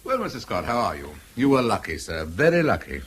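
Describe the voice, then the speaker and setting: Deep-voiced, wry police inspector. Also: male voice, wildly swooping pitch, a little fast, background noise. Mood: wry sympathy. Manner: courteous, wry. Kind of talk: BBC radio drama acting.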